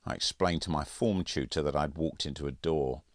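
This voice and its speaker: A man reading his own first-person literary story as an audiobook, in a measured, wry storytelling manner. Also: very deep voice, no room sound, clean studio sound.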